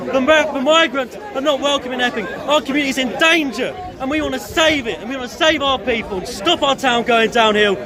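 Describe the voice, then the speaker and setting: Young woman, croaky and really high, fired up and defiant, big loud crowd all around outside. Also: brisk, few pauses. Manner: urgent, defiant. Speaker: protest speaker. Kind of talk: impassioned street interview. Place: outdoor street protest. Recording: loud crowd noise outdoors.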